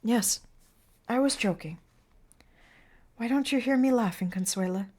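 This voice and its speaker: A woman's voice: fairly high pitch, a little slow, voice pushed up. A woman reading the part of a grieving male clown who comforts a dying girl in tender, soothing words. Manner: anguished, then hushed and soothing.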